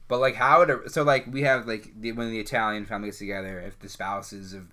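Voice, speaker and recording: Young man, podcast co-host, bright voice, rambling, laughs a lot. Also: fairly low pitch, fairly even intonation, fast talker. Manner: rambling storyteller, laughs often. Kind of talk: casual chat.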